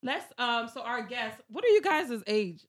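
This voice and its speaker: A woman in her late twenties co-hosting a casual podcast chat, slangy and quick to laugh. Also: a little high. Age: 20s. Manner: animated, laughs often, slangy. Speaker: podcast co-host.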